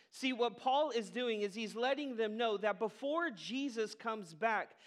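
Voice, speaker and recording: A pastor preaching a sermon to his congregation in an earnest, emphatic manner, with falling cadences. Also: high-pitched. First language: English.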